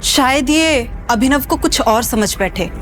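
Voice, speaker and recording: A catty, scheming young woman in an audio drama. Her high voice is needling and suspicious, with music clearly underneath. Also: lively intonation, a little slow. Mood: incredulous. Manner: needling, incredulous. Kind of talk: Hindi audio drama acting.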